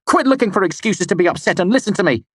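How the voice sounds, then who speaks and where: A man voicing a proud, hot-tempered young man in an audiobook, shouting biting words. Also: lowish voice, flat delivery, takes it slowly, slightly rough, no echo, studio quality, exaggerated voice.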